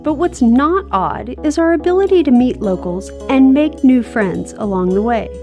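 A woman's voice: high-pitched, expressive, normal speed, mellow. A woman co-hosting a travel podcast, narrating in an upbeat, friendly way. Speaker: podcast co-host. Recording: narration over background music. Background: theme music.

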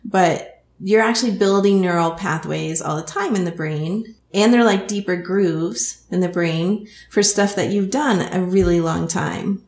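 Texan woman host, lowish voice, chatty explainer, echoey room. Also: a little slow, a little mellow. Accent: Texas. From Texas. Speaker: hypnotist and podcast host. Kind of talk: explanatory interview. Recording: roomy echo.

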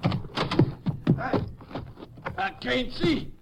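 An actor playing a desperate, defiant cornered killer, halting and strained, in a 1950s radio western. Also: male voice, very high voice, gravelly, heavily acted. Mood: pained, panicked. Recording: very noisy 1950s radio recording.

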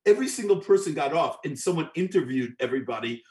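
A man's voice: fairly high pitch, animated, quick pace, darkish tone. A middle-aged man from New York, a guest musician telling long anecdotes in an interview, with frequent restarts and repeated words. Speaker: guest, orchestral clarinetist. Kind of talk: storytelling interview.

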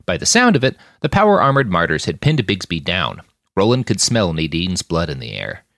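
A man narrating fiction in an expressive audiobook style, acting out the dialogue as he reads. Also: sits low, moderate pace, gravelly, no echo, clean studio sound. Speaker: fiction podcast author and narrator.

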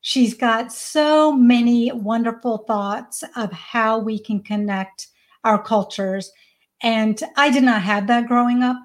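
A woman in her forties with an American accent and Hindi as her first language, talking conversationally as an interview guest. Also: mid-range voice. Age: 40s. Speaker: podcast guest, parenting coach.

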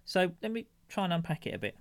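Male podcast co-host, slightly raised pitch, gravelly texture, reflective and wry. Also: sing-song, fast talker. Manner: thoughtful, searching for words, self-deprecating humor. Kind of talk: casual chat.

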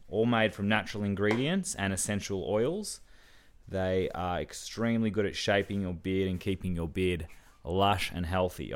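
A man hosting a podcast, talking in a relaxed, rambling way with fillers. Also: fairly low pitch. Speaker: podcast host.

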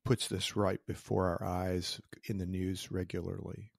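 Man, low and gravelly, reflective storyteller on a podcast; dry close sound. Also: little pitch movement, measured pace, no echo. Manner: reflective storytelling with small restarts. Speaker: podcast co-host and interviewer. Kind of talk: casual conversation.

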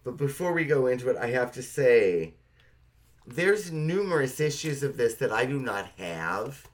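A man's voice: medium pitch, big pitch swings, unhurried. A man co-hosting a podcast, chatting casually in an emphatic, wryly exaggerating way. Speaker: podcast co-host. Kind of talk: casual chat.

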